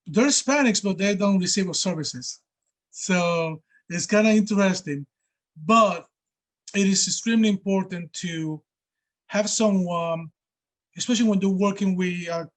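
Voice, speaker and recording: High-voiced man, panelist on a video call, earnest, explains with examples. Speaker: panelist, care coordinator. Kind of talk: casual panel discussion.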